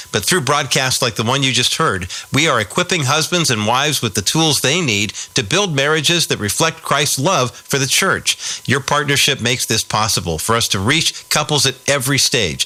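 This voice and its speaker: A man's voice: mid-range voice, bright, forceful tone. An American man reading scripted narration as a radio program's announcer and host. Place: studio. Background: music.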